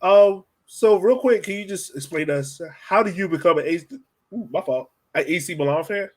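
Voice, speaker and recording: Higher-pitched man hosting casually. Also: normal speed, a little mellow. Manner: informal, slangy. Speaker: podcast host. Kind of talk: casual sports podcast chat.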